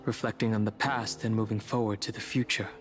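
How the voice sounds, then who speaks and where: An actor playing a calm, sincere and supportive young traveling companion in a video game, speaking politely and thoughtfully. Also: male voice, fairly low pitch, lightly acted. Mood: reflective. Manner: thoughtful, polite, measured wording. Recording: loud music behind.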